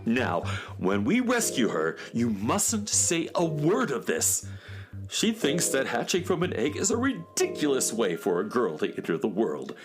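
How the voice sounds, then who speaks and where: A fussy, eccentric space handyman, played by a man, talks in precise, theatrical phrases that swing very widely in pitch. He is an earnest inventor in a children's radio adventure, with music behind him. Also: wildly swooping pitch, a little slow. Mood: flustered, scolding. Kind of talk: children's radio serial acting.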